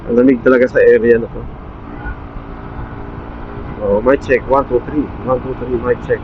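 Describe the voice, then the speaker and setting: A man's voice: medium pitch, fairly even intonation, moderate pace. Filipino man on a muffled helmet intercom. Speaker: motorcycle vlogger. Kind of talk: casual vlog chat while riding. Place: outdoors on a motorcycle at night, in traffic. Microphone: helmet intercom mic under the visor. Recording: dull intercom mic with road noise. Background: faint vehicle and road noise.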